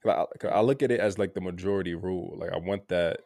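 A man co-hosting a podcast in a casual, reflective way, halting and repeating words, often checking in with "right?". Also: darkish tone, no echo, studio quality. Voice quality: gravelly low voice. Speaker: podcast co-host.

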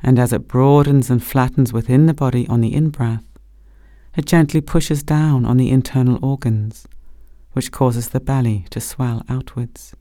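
Gentle, mellow-voiced meditation guide, man. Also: medium pitch, no room sound, studio quality. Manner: gentle, slow, soothing instruction. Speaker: meditation teacher. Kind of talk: guided body scan meditation.

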